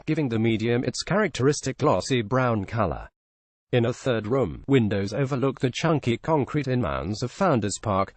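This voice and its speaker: A man narrates a written feature in a measured, journalistic way. His mid-pitched voice is recorded dry and close, with no room sound. The delivery is clearly scripted, read straight from the page. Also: a little mellow, no room sound. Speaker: narrator reading a feature article.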